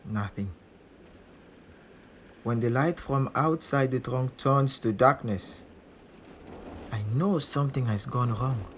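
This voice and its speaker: A man's voice: mid-range voice, takes it slowly, pauses often, lightly acted. Noisy background, actor as a scared stowaway guy telling his story simply, medium male voice, audio drama. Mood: tense, bleak. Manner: simple, short sentences. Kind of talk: first-person audio drama narration. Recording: noisy ambience behind the voice.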